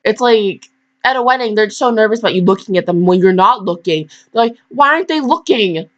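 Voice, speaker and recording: A woman's voice: medium pitch, animated, brisk. Woman in a dull, lo-fi recording, rambling bluntly. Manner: slangy, blunt, digressive, mock-scolding. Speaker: host reading fan fiction aloud. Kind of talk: casual reading with rambling commentary.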